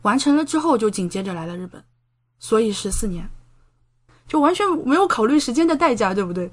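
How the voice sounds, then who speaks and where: A woman's voice: a little high, very animated, a little fast. A woman in her thirties from China talking casually as a podcast guest, explaining things in a chatty way with frequent tag questions. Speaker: podcast guest, doctor studying abroad. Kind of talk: casual conversational interview, explaining and recounting.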